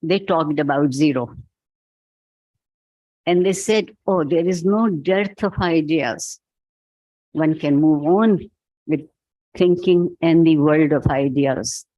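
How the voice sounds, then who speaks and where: A man with a Pakistani accent giving a reflective keynote talk, speaking in a measured, halting, philosophical way. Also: on the high side, no echo, highs cut off. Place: event hall.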